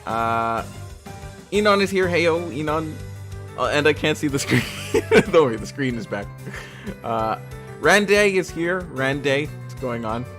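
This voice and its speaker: A man's voice: on the high side, lively intonation, unhurried, a bit bright. A man live-streaming a game, chatting playfully with his viewers and joking around. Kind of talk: casual live-stream chat. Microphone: desk microphone. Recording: music playing behind.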